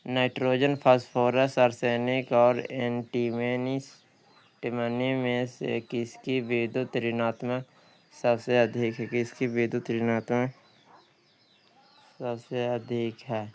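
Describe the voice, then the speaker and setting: A man's voice: medium pitch, monotone, takes it slowly, a little mellow, smooth. A man working through practice questions as a coaching teacher, reading each one out and repeating questions and answers.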